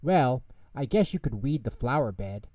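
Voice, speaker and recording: A man voicing a kind, calm big male bear in a children's storybook, gently reassuring and soothing. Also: mid-range voice, moderate pace, no echo, recorded from a distance, muffled recording, lightly acted. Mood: kindly, reassuring.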